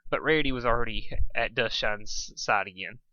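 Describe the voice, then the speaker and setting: A man's voice: mid-range voice, normal speed, bright, forceful tone, dry recording, muffled recording. A man narrating a story casually as an amateur reader, now and then stumbling and rereading his lines. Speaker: fan fiction reader.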